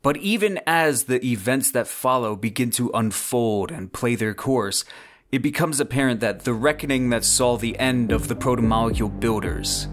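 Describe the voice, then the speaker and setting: A man's voice: mid-range voice, moderate pace. A man hosting a solo podcast, giving scripted commentary in a dramatic, emphatic storytelling manner. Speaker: podcast host.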